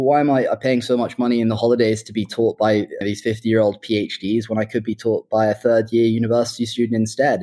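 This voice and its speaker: A man's voice: lowish voice, darkish tone. A man in his twenties giving conversational interview answers, talking earnestly and enthusiastically about his own story. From Hong Kong and UK. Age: 20s. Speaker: startup founder being interviewed.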